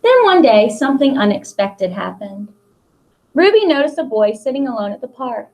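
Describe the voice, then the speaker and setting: A middle-aged American woman, a school principal, reads a picture book aloud to children in simple, expressive phrases. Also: fairly high pitch, normal speed, rough recording. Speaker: school principal reading to pupils.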